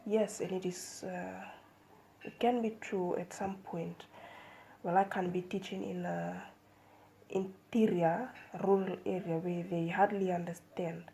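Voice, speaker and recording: A young woman giving a seminar presentation as a student, measured and hesitant, with fillers and restarts. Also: lowish voice, fairly even intonation, gravelly, warm tone, slight background noise, rough recording. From Fiji.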